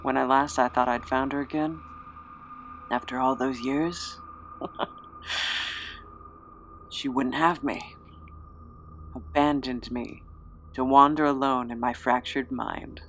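Gravelly, sardonic immortal drifter, played by an actor. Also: mid-range voice, steady tone, slow, pauses often, a bit bright, a little hiss or hum. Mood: wistful, bitter. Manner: flippant, wry, breathy asides and chuckles. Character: Napoleon, sardonic, embittered immortal drifter with fractured memory. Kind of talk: audio drama acting.

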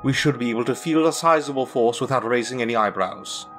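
A man's voice: mid-range voice, fast, natural voice. Blunt, scornful minotaur commander, acted by a man. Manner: blunt, military. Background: background music.

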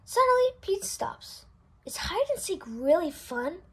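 A woman reading a children's picture book aloud in an expressive, sing-song way. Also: extremely high pitch, unhurried, slight background noise. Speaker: storybook reader. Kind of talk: children's read-aloud narration.

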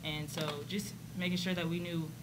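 A young woman basketball player answering reporters in a relaxed, friendly way with occasional fillers, speaking into a desk microphone. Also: on the low side, normal speed, background noise. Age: young adult. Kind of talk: postgame press conference answers. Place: press conference room, indoors. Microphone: desk microphone on stand.

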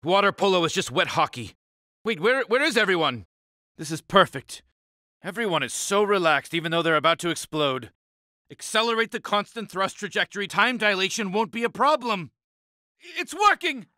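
A man voicing a grumpy, uptight, pompous alien spaceship leader in an animated cartoon game, exasperated and emphatic. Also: high-pitched, moderate pace, no room sound, studio quality, exaggerated voice. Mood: matter-of-fact, emphatic.

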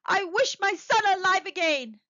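A woman's voice: very high voice, big pitch swings, unhurried, a bit bright, no room sound, dull audio, pitched above the performer's own voice, heavily acted. A woman reading an audiobook plays a fearful, tender old husband with an unsteady, faltering delivery. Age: older. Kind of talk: dramatic audiobook character reading.

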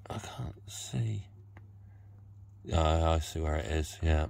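A British man in his forties giving a low-key, casual running commentary as he does a repair, half muttering to himself. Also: slow pace, vocal fry, darkish tone. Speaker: vlogger demonstrating a repair. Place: covered outdoor patio by a hot tub. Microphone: handheld phone camera mic. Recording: faint ambient noise, handheld phone recording.

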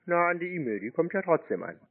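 Husky, teasing man on a phone. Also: on the high side, very animated. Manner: teasing, feigned slow-wittedness. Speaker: scam-baiting call recipient. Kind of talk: casual prank phone call. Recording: muffled narrow phone-like sound.

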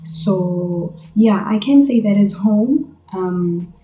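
A woman's voice: medium pitch, slow pace, dark tone. A young South African woman in her twenties answering viewer questions in a casual, earnest vlog from her bedroom, often calling her viewers "guys". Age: 20s. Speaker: YouTuber. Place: bedroom, indoors. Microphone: camera on tripod in front. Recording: echoey bedroom.

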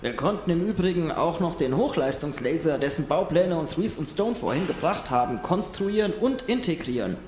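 An eager male technician , acted by a man, reporting in a radio play. The voice is fairly high and very animated, with a roomy echo. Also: normal speed, hardly any pauses, faint music behind, lightly acted. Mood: eager, proud. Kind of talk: audio drama acting. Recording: roomy, echoey voice recording.

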